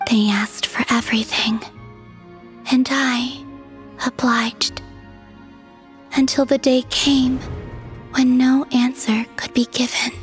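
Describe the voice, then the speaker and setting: A woman voicing a serene, melancholy young moon goddess, breathy and whispery, speaking softly and poetically in a video game dub. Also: sits high, flat delivery, faint music behind. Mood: wistful. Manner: soft, slow and poetic.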